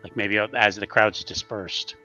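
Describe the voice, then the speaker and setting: Bright-voiced man player, lo-fi. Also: lowish voice, steady tone, unhurried, soft background music. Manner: easygoing, describes his character's actions. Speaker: tabletop game player. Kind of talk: casual chat while playing.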